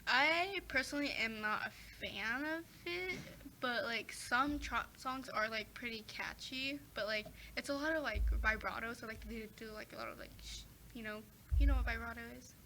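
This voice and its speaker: Teen girl guest, high and bright, chatty, lots of fillers, faint noise in the background. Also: normal speed, rough recording. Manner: chatty, many fillers and restarts. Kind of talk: casual chat.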